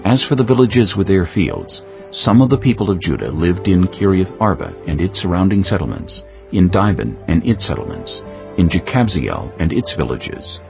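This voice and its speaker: Very deep, gravelly male scripture narrator. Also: sing-song, normal speed. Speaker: audiobook narrator. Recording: dull lo-fi audio with music behind. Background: prominent music bed.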